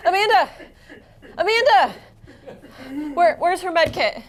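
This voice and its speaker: A woman's voice: extremely high pitch, lively intonation, unhurried. A woman player in a tabletop role-playing game, slipping between table chat and speaking in character.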